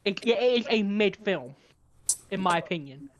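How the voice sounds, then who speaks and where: A man co-hosting a casual podcast chat, measured and critical, often speaking without contractions. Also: high voice, expressive. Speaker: podcast co-host.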